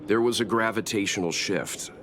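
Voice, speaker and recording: An actor playing a calm, scholarly traveller in a video game, speaking in a precise, explanatory way. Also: male voice, fairly low pitch, moderate pace, noisy background, natural voice. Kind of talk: video game dialogue acting. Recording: music under the voice.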